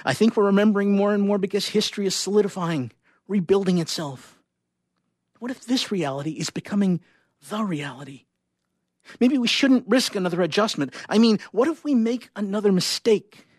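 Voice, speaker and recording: Man reading as an anxious, husky scientist wife. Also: high voice, moderate pace, darkish tone, dry recording, clean studio sound, pitched above the performer's own voice. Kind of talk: dramatic short story reading.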